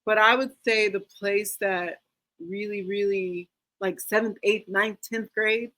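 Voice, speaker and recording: Easygoing American woman, mid-pitched voice. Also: steady tone, slow pace, bright, forceful tone. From United States. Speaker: interview guest, actress. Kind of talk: casual chat, personal recollection.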